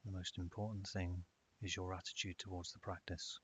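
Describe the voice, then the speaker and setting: Deep, even-voiced man guiding meditation. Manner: calm, measured, with long pauses. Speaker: meditation guide. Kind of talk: guided meditation narration. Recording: dull lo-fi audio with hiss.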